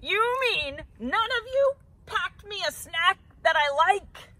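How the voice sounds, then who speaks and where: A woman's voice: very high voice, animated, slow pace, sharp tone, no echo, voice pushed up, full character voice. A woman voicing a picky, hungry storybook bird, complaining in a whiny, theatrical way. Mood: demanding, desperate.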